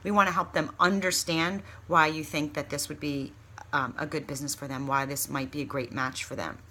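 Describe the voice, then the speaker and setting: A woman with a slightly low voice gives casual sales training. She is chatty and encouraging, stressing her key points candidly. Some faint noise sits behind her voice. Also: steady tone, a little fast, pushed and bright. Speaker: consultant giving sales training. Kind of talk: informal training talk with acted sample pitches.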